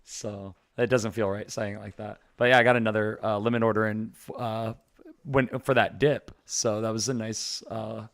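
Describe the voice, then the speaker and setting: A man's voice: on the low side, little pitch movement, moderate pace. A man co-hosting a crypto livestream, chatting casually with a rambling delivery full of fillers that often trails off. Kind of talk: casual chat.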